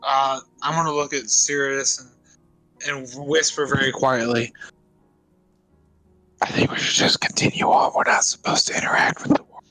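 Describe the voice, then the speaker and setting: A man's voice: mid-range voice, fairly even intonation, normal speed, long pauses, bright, forceful tone, no echo, poor audio. A man playing a tabletop role-playing game, casually stating what his character does. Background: faint music.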